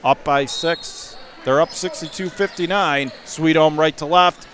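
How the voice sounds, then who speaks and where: A man doing live radio play-by-play of a high school basketball game from the gym, emphatically restating the score and clock. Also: unhurried, a bit bright, a little hiss or hum. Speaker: play-by-play sports announcer.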